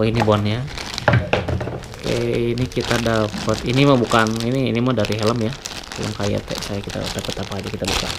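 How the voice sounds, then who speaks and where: A man reviewing products in a casual, jokey, unscripted way on a noisy, clicky recording. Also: mid-range voice. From West Java, Indonesia. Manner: jokey, chuckles often, colloquial.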